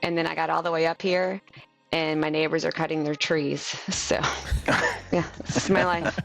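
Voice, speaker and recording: A middle-aged woman with a Southern US accent talks in a low, gravelly voice. She is a chatty, playful guest who laughs easily, speaking from a corner of her home. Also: flat delivery, normal speed, faint music behind. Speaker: guest, software support manager. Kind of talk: casual video-call chat. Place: corner of a child's bedroom at home.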